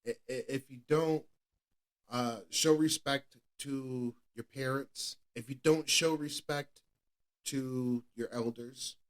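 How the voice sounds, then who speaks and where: A man's voice: mid-range voice, steady tone, slow pace. A man in his thirties giving a candid personal testimony as a podcast co-host, earnest and repetitive, often restarting his sentences. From New England. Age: 30s.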